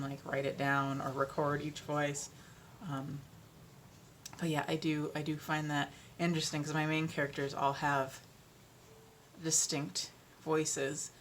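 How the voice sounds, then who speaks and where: Low-voiced woman chatting casually. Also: fairly bright tone, slight background noise. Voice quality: deeper voice. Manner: rambling, self-deprecating, frequent ums and laughs. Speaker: audiobook narrator and vlogger. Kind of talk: casual chat.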